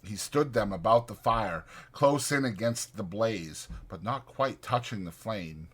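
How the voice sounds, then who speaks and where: Bright-voiced man narrating an audiobook. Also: lowish voice, animated, a little slow, slightly rough. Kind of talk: dramatized literary narration.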